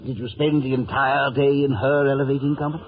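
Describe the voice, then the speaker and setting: An actor playing a pompous, bookish, condescending gentleman who blusters and stammers when flustered, in 1950s radio drama style. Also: mid-range voice, flat delivery, fairly quick, natural voice. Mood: pompous scolding. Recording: old radio broadcast, narrow band, music behind.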